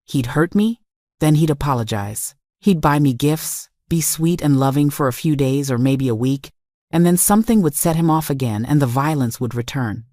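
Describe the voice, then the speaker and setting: A woman with a low voice delivers scripted, dramatic first-person narration. Her manner is confessional, leaning on short emphatic phrases. The recording is dry and close. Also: moderate pace, warm tone, no room sound. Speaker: story narrator.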